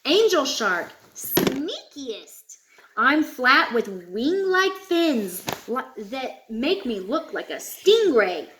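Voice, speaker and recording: A woman voicing proud sharks who explain themselves in a children's storybook, in a measured manner. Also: high-pitched, very animated, background noise, heavily acted.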